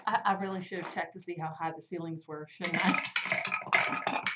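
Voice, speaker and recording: A woman's voice: on the low side, steady tone, moderate pace, heavy background noise. A woman playing in a tabletop game, talking eagerly and explaining her moves at length. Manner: eager, talkative. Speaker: player. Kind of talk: casual tabletop play. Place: online live stream.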